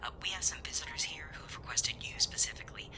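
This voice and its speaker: A man's voice: fairly high pitch, fast talker, pitched above the performer's own voice, close to an everyday voice. Man putting on a whispery, prim front desk clerk. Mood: polite. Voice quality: breathy, whispered. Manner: formal, dryly dismissive, hesitant uhs. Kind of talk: ASMR roleplay voice acting.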